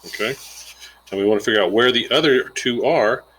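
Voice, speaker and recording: A man's voice: mid-range voice, fairly quick, fairly bright tone, a little hiss or hum, low-quality recording. A man giving an informal, plainspoken lesson, explaining a worked example step by step and thinking aloud as he calculates. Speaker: instructor.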